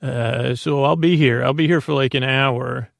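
Gravelly, creaky man rambling along. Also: mid-range voice, steady tone, no echo, clean studio sound. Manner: halting, digressive, repeats and trails off. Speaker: host of a bedtime sleep podcast. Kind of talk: rambling, meandering monologue.

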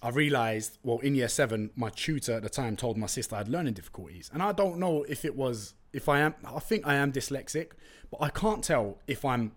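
Young London-accented man, Turkish first language, podcast host; slangy, chatty, jokey storyteller, mid-pitched voice. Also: expressive, a little fast. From East London, England. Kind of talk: casual solo podcast storytelling.

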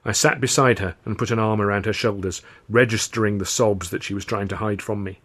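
Male author narrating, low and wry, audiobook read. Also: steady tone, a little fast, fairly bright tone.

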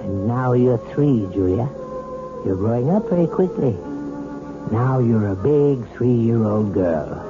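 An actor playing a calm male hypnotist who talks in a soft, measured, persuasive way, in a 1970s radio drama. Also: medium pitch. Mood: coaxing. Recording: tinny old radio broadcast with music.

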